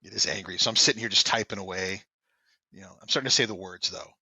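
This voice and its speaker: A man with a low, very bright and forceful voice co-hosts a trade podcast in a loose, joking way. He teases his partner and keeps the chat lively, and the recording is close and dry with no room sound. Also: fast, slightly rough, dry recording, rough recording. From Boston area. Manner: jokey, teasing, animated. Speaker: co-host. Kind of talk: casual podcast banter.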